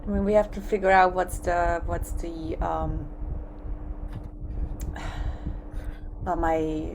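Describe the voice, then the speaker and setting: A woman's voice: on the low side, steady tone, slow, a little mellow, slight background noise, low-quality recording. A woman whose first language is Hungarian, joining a workshop on a video call and hesitantly thinking aloud. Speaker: workshop participant.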